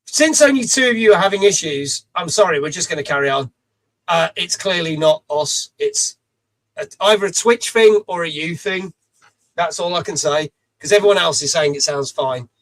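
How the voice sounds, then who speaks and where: A middle-aged man with a rough, raised voice hosts a live stream from a small home studio. He chats loosely, reads out viewers' messages and swears casually. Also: fairly high pitch, lively intonation, normal speed, a bit bright, low-quality recording.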